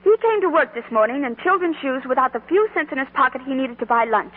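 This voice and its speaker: A woman's voice: sits high, expressive, fast, slightly rough, phone-quality sound. An actress playing an earnest, big-hearted young shopgirl in a 1940s radio drama, on an old broadcast recording. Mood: impassioned, pleading.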